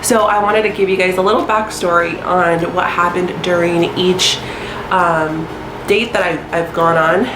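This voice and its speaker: A woman's voice: on the low side, fairly even intonation, a little slow, roomy sound, background noise. Stuffy-nosed young woman, chatty and rambling. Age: 20s. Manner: chatty, rambling, many ums and likes. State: stuffy nose from a sinus infection. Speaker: astrology vlogger. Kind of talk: casual storytelling to camera.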